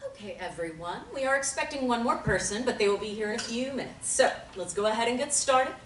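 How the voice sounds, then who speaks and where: A polite, formal therapist, read by a woman at a table read, her voice fairly high and lively in pitch. She is recorded from well back in a roomy space with a little noise underneath. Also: fast, lightly acted. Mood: calm. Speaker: actor in a table reading. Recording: roomy audio from far off, faint noise.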